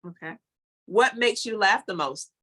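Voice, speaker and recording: Podcast host: woman, bright forward tone, medium pitch, casual questionnaire delivery. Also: animated, measured pace. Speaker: interview host. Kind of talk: casual questionnaire-style interviewing.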